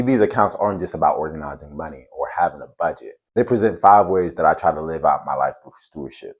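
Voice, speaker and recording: A man from Cameroon hosting a faith and finance channel, giving an earnest, steady talk to camera. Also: very deep voice, husky.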